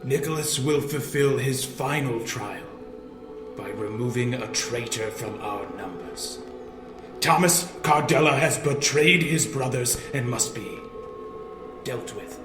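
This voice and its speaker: An actor playing a grave, solemn officiant of a secret brotherhood in an audio drama, speaking in a measured, ominous way. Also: male voice, mid-range voice, close to an everyday voice. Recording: echoey room under loud music.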